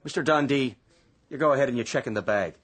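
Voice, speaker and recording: A man's voice: medium pitch, quick pace, a bit bright, natural voice. A man acting in a radio drama as an impatient, streetwise police officer with an American accent, brusque and bossy. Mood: impatient, gruff. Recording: music bed behind dialogue.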